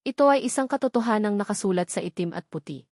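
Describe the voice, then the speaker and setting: A woman reading a scripted dramatic love story as narrator, in a measured storytelling manner. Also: mid-range voice, animated, darkish tone, dry recording, clean studio sound.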